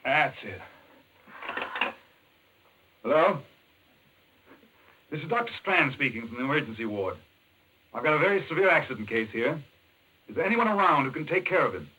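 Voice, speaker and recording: An actor playing an earnest, urgent doctor hero in a 1940s radio thriller, speaking in a brisk, intense, clipped way. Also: male voice, on the high side, very animated, sharp tone, husky, full character voice, rough recording. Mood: urgent, businesslike. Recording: old radio broadcast, faint noise.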